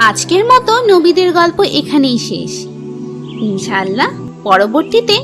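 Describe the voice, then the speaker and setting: A woman reading a scripted religious story for children in a teacherly manner, as a storyteller. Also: high voice. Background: music.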